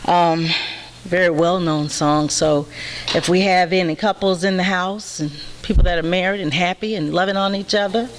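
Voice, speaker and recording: A woman chatting casually to an audience from the stage before she sings, sassy and relaxed with quick asides. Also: fairly low pitch, slight background noise. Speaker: singer performing at a read-in. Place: university event hall with live music.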